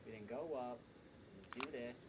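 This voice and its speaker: A man's voice: medium pitch, steady tone, a little slow, very noisy. A man streaming a video game and chatting casually to viewers, rambling and correcting himself as he plans his route. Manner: rambling, self-correcting, jokey. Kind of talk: casual gameplay commentary.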